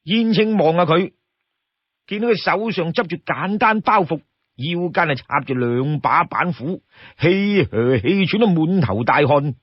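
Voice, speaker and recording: Animated, husky male storyteller. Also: fairly high pitch, unhurried, no echo. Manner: animated, expressive delivery. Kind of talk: dramatic scripted audiobook narration. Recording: dull, close dry studio sound.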